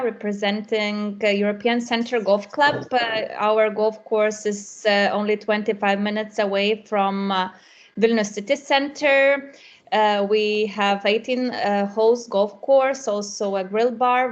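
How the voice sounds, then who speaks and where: A woman introducing her golf club in an online meeting, speaking haltingly with many fillers. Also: mid-range voice, lo-fi sound. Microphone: video call.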